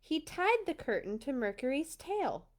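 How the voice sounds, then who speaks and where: High-voiced woman reading a storybook aloud. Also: a little slow. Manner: expressive, with little asides. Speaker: storytime reader. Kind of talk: dramatic read-aloud of a children's storybook.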